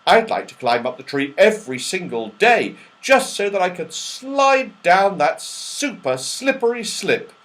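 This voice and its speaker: Excitable storybook little girl, read by a man. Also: sits high, a little slow, few pauses, pitched above the performer's own voice, exaggerated voice. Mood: delighted, wondering.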